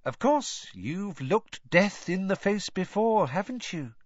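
A man voicing a brisk, prying female reporter. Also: high-pitched, big pitch swings, no room sound, voice pushed up, exaggerated voice. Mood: brisk, probing. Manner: brisk, talks over others, leading questions. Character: pushy gossiping female journalist, brisk and probing. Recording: dull, dry lo-fi audiobook recording.

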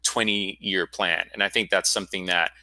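A man's voice: sits low, fairly even intonation, moderate pace, pushed and bright, no echo. A man in his forties from Florida, a guest telling his story in a casual, rambling interview. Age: 40s. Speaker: guest, school founder.

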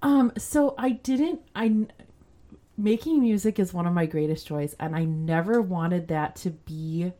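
A woman with a fairly low voice, a podcast guest talking casually and hesitantly about music and teaching. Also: a little slow, warm tone. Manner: hesitant, self-deprecating, many restarts. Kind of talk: casual chat.

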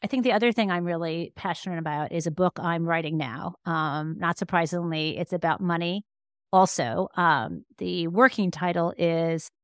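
Low-voiced woman, emphatic expert guest. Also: dry recording, clean studio sound. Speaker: interview guest, law professor and author. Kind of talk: conversational expert explanation in a podcast interview.

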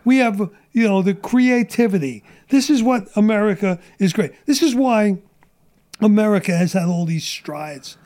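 A middle-aged man with a high voice rants in a casual podcast chat, blunt and profane. His voice is wearing out and getting strained. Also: animated, moderate pace, a little mellow. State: losing his voice. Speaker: guest.

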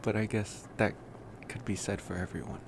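Man acting as young male vampire, low creaky voice, relaxed affectionate rambling, ASMR roleplay, noisy recording. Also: close to an everyday voice. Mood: casual, wry. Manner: relaxed, hesitant rambling with sighs and pauses. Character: laid-back, rambling college-age male vampire, affectionate and wry. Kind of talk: ASMR roleplay, intimate casual monologue.

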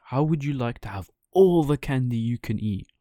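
A man's voice: medium pitch, expressive, normal speed, mellow, no room sound, studio quality, close to an everyday voice. A man playing a sly coachman who coaxes children in a persuasive, slick way, as a storybook villain. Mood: coaxing. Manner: coaxing, persuasive.

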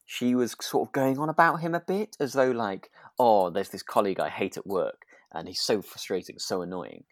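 A man's voice: fairly low pitch, moderate pace, no echo. A man speaks as a podcast co-host in a very dry recording. He discusses a drama casually, hesitant and agreeable, circling back over his words as he thinks aloud. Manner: hesitant, repeats and trails off, agreeable.

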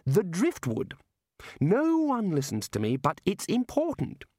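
A man's voice: sits high, huge pitch swings, a little slow, mellow, no echo, clean studio sound, voice pushed up, heavily acted. A man voicing an anxious, earnest young wizard in a storybook, fussy and insistent as he explains. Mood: earnest, exasperated.

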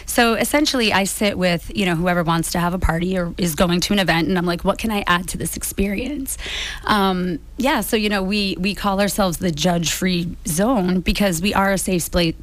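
A woman with an American accent and a slightly raspy voice, chatting casually as an interview guest. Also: on the low side, normal speed, poor audio. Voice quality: a little raspy. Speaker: guest, small business founder.

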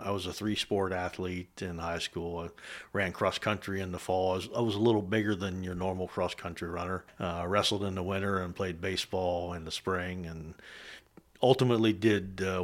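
An older man with a Pennsylvania American accent speaks as a guest in a podcast studio. His voice is deep, and he talks conversationally in a measured way, with pauses between phrases. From south central Pennsylvania, United States. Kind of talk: casual conversation, reminiscing.

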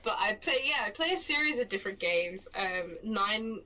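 A woman's voice: medium pitch, animated, normal speed, pushed and bright. A young woman with a London accent, co-hosting a stream in casual chat, rambling and self-mocking, laughing often. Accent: London English. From England. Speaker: stream co-host.

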